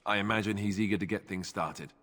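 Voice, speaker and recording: An actor voicing a wry, glib young warrior in a fantasy video game, full of sarcasm. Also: male voice, fairly low pitch, fast, a bit bright, in a large hall. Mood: candid. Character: wry, joking young warrior, former templar.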